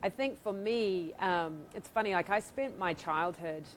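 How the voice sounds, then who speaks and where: A woman's voice: on the low side, unhurried, a little hiss or hum. A middle-aged woman with a New Zealand accent, a guest speaking enthusiastically in an interview with frequent laughs. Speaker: author and guest. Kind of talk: interview conversation.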